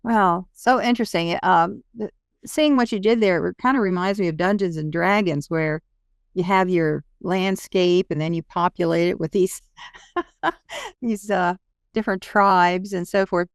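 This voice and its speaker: A woman's voice: lowish voice, a little slow, smooth, dry recording, clean studio sound. A woman hosting an interview in a casual, conversational style. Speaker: interview host.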